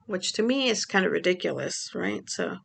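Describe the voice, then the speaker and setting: Gravelly-voiced woman from Mexico, rambling vlog. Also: on the low side, very animated, normal speed, fairly bright tone. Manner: rambling, many fillers. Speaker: vlogger. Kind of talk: casual personal vlog.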